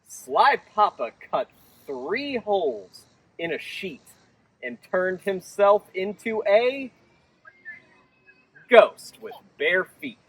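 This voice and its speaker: A man reads a children's picture book with theatrical expression. His voice is high and swings widely in pitch. He sounds a bit distant from the microphone. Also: drawn-out words, long pauses, breathy edge. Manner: theatrical, animated. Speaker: children's storytime reader.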